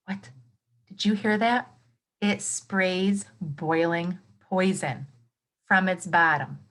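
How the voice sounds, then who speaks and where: A woman's voice: on the low side, slow pace, a bit bright. A woman teacher reading a nonfiction book aloud to children, expressively, with exclamations, explanatory asides and questions to her young listeners.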